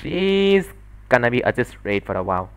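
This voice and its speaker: Male solo dubber, story narrator, lively pitch movement, scripted first-person reading. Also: normal speed, a little hiss or hum.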